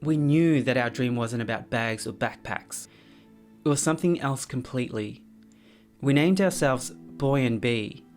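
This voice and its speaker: Young man, from Cambodia, soft-spoken, earnest, halting with ums, mid-pitched voice. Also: faint music behind. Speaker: business founder. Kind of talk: documentary testimony and reflective narration.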